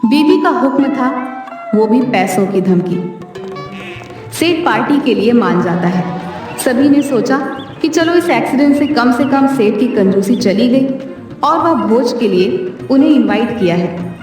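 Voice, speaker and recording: A woman's voice: on the high side, lively intonation, a little slow. A woman narrating a scripted story for a cartoon. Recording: hall-like echo, distant, faint music.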